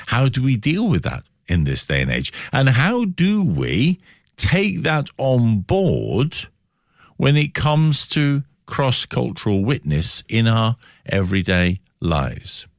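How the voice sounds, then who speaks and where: A male radio interviewer with a very expressive, moving intonation. He frames questions reflectively in measured phrases, and his voice is captured close and dry. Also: mid-range voice, no room sound. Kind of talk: thoughtful scripted-sounding radio interview introduction and questions.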